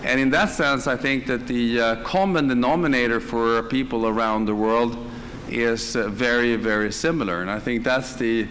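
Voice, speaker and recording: A man with a Swedish accent speaks off the cuff on a panel, in a medium-pitched voice. He pauses often mid-phrase as he tells his story. The live recording carries audible background noise. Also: a bit bright, in a large hall. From northern Sweden. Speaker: conference panelist, direct selling executive. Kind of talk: unscripted personal storytelling on a panel. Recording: live conference room, clear background noise.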